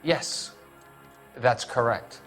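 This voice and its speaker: A man's voice: mid-range voice, animated, very slow, fairly bright tone, soft background music. A man playing a defensive, evasive suspect under questioning in a dramatic video game.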